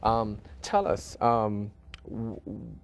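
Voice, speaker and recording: A man hosting an interview show in a conversational way, phrasing his questions haltingly with many small acknowledgements. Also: lowish voice, monotone, gravelly, noisy background. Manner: hesitant phrasing, frequent backchannels. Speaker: talk show host.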